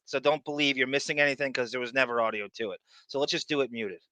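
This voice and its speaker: A man's voice: mid-range voice, fast talker, sharp tone, dry recording, low-quality recording. A man hosting a casual live chat show, rambling through asides and repeating his phrases. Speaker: podcast host.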